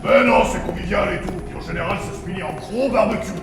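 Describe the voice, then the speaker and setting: A man's voice: sits high, fast, background noise. A man playing a sardonic, casually joking chemist with ghoulish humour in a fantasy comedy audio drama. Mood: gleefully ghoulish.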